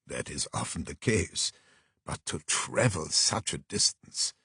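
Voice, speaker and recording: A man voicing a polite, deliberate private detective who speaks formally and precisely in an audiobook. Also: low-pitched, expressive, sharp tone, breathy edge, dry recording, studio quality, exaggerated voice. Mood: measured. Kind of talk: audiobook character voice.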